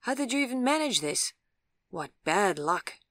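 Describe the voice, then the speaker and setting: A woman's voice: medium pitch, sing-song, moderate pace, a bit bright, dry recording, studio quality, voice pushed up. A woman voicing a male ambulance orderly in a storybook, blunt, emphatic and frankly amazed. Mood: blunt, incredulous. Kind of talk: audiobook character voice.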